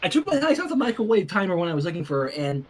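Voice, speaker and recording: This is a narrator acting an anxious teenage girl stuck minding a baby in a cartoon fan story. Speech is husky and flustered, stumbling through apologies with pitch that jumps around. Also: very animated. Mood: flustered, hesitant. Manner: hurried, apologetic, stumbling explanations.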